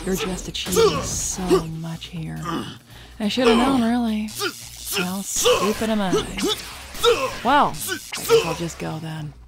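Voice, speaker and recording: A woman's voice: mid-range voice, very animated, slow. A woman voicing a sly, sarcastic adult female bat in a fan cartoon, drawling her words. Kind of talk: fan-made cartoon voice acting. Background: loud background music.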